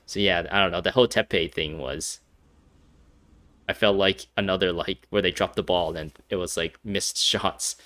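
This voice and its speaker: A man's voice: sits low, unhurried, bright, forceful tone. A man giving casual, rambling commentary over a video game, with many fillers and frequent laughs. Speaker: gameplay streamer.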